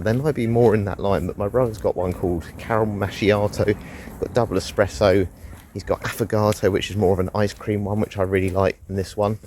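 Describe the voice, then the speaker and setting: A man's voice: on the low side, darkish tone, slight background noise. A man with a head cold, chatting casually through a review while out on a walk, rambling and now and then sighing. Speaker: fragrance reviewer. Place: outdoors on a walk.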